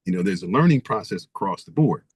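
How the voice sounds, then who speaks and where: Man, low voice, dry close sound, emphatic, repeating rhetorical questions, interview guest. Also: animated, quick pace, slightly rough, no room sound. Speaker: guest author. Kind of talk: interview conversation.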